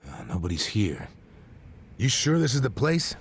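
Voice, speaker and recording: An actor playing a gruff, down-to-earth middle-aged ex-cop in an English game dub, blunt and casual. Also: male voice, medium pitch, very animated, moderate pace, noisy background. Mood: easygoing, blunt. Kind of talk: English video game voice acting.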